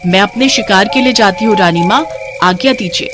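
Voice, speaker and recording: A woman's voice: mid-range voice, brisk, over music, close to an everyday voice. A woman voicing a scheming witch who poses as a helpless bride, with a dramatic, pleading manner. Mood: eager, deferential.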